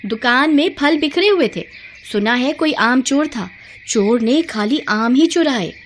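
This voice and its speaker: Woman doing a high-voiced gossipy neighbour lady. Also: animated, a little slow, slight background noise. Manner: confiding, gossipy. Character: gossipy neighbour woman sharing scandalous news.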